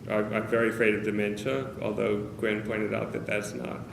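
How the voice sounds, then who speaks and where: A man speaking candidly to a meeting of caregivers, hesitant, with many pauses and fillers. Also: fairly low pitch, level tone, vocal fry. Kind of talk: personal talk to a small group. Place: meeting room with a group of about 45 people. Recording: roomy, noisy meeting-room recording.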